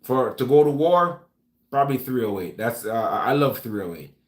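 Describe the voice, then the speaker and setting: A man with a Jamaican accent chats loosely with his viewers, often starting over and calling them "man." His voice sits at a middle pitch. The sound has a roomy echo. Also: moderate pace. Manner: relaxed, stumbling restarts, calls people man. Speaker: vlog host reading live chat. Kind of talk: casual live-stream chat. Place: room at home with gun rack.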